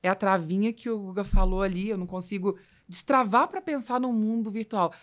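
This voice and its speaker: A woman's voice: mid-range voice, brisk. A Brazilian woman hosting a conversational business podcast, explaining her points emphatically. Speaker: podcast host.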